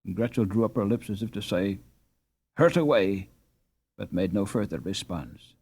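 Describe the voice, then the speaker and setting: A man reading a story aloud as an audiobook narrator, in an expressive, dramatic storytelling manner. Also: on the low side, a little fast, slightly rough.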